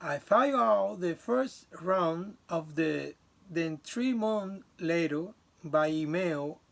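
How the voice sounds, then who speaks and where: Slow, gravelly man. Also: fairly high pitch, animated, fairly bright tone. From Dominican Republic. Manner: halting and earnest, with restarts and some non-native grammar. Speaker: student. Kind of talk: class presentation recorded on video.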